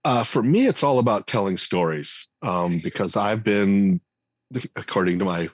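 A man, a game writer and designer, chatting casually as an interview guest, relaxed and full of fillers and restarts. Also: lowish voice, normal speed, husky, no echo. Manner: relaxed, thinks aloud with many fillers and restarts.

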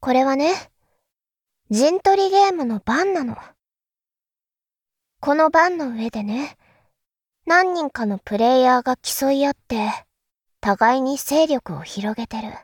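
A woman acting as an enigmatic elder sister from an audio drama, in a high voice with a slow, measured delivery. She is calm and philosophical, explaining things like a teacher. The sound is dry and close. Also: no echo. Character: calm, enigmatic elder sister musing darkly about world affairs.

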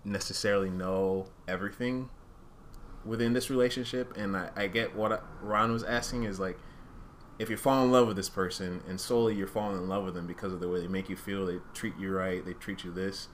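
A man's voice: sits low, fairly even intonation, brisk, slight background noise. A man speaking casually and candidly as a podcast co-host, thinking aloud and often hedging as he reasons through his points. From Uganda-born, raised in Rwandan culture.

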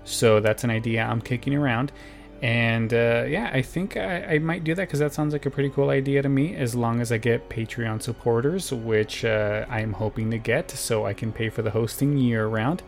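A man's voice: mid-range voice, moderate pace, gravelly, soft background music. A man hosting a podcast, chatting to listeners in a laid-back, informal, rambling way. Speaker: podcast host. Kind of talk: casual chat to listeners. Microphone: close podcast microphone, wearing headphones.

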